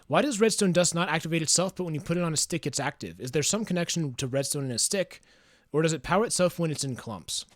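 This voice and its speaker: A man chatting casually on a livestream, reading chat aloud in a smooth, soothing voice, musing and hesitant with fillers. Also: fairly high pitch, animated, fast, dry recording.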